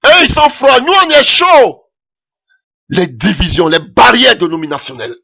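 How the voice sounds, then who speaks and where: A man's voice: extremely high pitch, very animated, moderate pace, creaky, pushed and bright. A man preaching a sermon in French that is not his mother tongue, impassioned and punctuating his points with "Alléluia".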